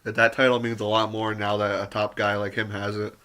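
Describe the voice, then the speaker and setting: A man giving a rambling, casual spoken review full of fillers and mild swearing, his voice turning raspy with occasional coughs. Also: lowish voice, flat delivery, a little fast, background noise. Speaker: wrestling show reviewer.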